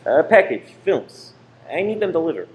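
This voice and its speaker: A man's voice: a little high, very animated, normal speed, narrow, tinny audio. A man playing a tense, secretive married cinema owner, insistent and controlling, in a radio play. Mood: suspicious. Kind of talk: amateur radio play acting. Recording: roomy echo with faint noise.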